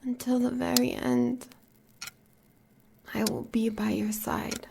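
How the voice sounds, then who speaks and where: Noisy recording, woman acting as an american werewolf huntress, devoted and fierce, breathy and solemn, very even highish pitch. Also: exaggerated voice. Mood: fierce devotion. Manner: solemn, measured, poetic delivery. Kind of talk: audio roleplay acting.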